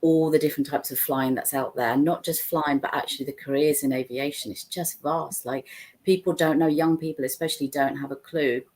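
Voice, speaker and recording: Low-voiced woman on a video call. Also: steady tone, a little fast. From Sri Lanka. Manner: chatty, warm, many fillers and restarts. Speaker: guest, founder of an aviation charity. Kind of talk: casual video-call interview. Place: home room with bookshelves. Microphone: laptop webcam on a video call.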